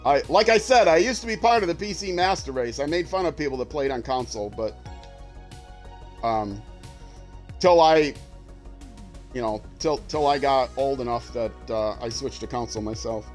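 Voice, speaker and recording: A man's voice: mid-range voice, animated, normal speed, pauses often, faint music behind. An older retired man with a young-sounding voice, chatting casually and rambling with many fillers as he streams a game. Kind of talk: casual livestream commentary while playing.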